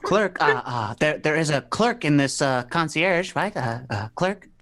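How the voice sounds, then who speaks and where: Comic radio play: flustered gentleman performed by a woman, low for the performer, heavy stammer and halting delivery. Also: expressive.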